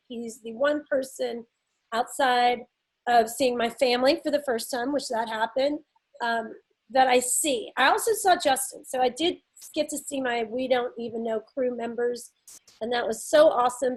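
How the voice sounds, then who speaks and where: A woman's voice: sits high, poor audio. A middle-aged woman from Nashville with a Southern accent, co-hosting a podcast in casual, laughing chat with frequent ums. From Nashville, Tennessee. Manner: chatty, laughing often, drawn-out pauses with ums. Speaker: podcast co-host.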